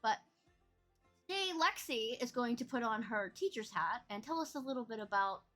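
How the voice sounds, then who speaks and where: A woman's voice: on the high side, animated, normal speed, bright, forceful tone, faint music behind. A woman hosting a casual podcast chat, bubbly and teasing, laughing often. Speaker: podcast host.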